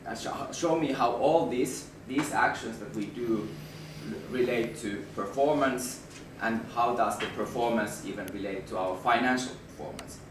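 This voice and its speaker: A man with a Finnish accent, hoarse from a flu, giving a presentation to an audience and restarting his words as he goes. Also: mid-range voice. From Finland. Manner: halting, restarts words, then rises in emphasis. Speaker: management consultant presenter. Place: room with an audience. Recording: roomy, distant mic with background noise.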